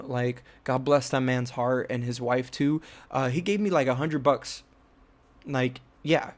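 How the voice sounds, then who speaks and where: A young man from New Jersey telling a rambling personal story about his filmmaking, with many fillers and restarts. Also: mid-range voice. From United States (New Jersey). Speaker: filmmaker and channel host.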